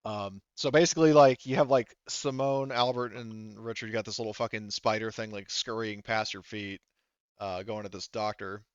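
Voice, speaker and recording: Male game master, smooth low voice, casual and halting, slightly dull close recording. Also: steady tone, dry recording. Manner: halting, thinks aloud while checking rules. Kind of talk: running a tabletop roleplaying game, casual.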